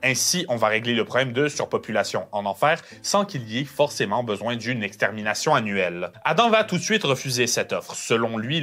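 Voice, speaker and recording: A man's voice: medium pitch, a bit bright. A man narrating a scripted video analysis in a casual, conversational way.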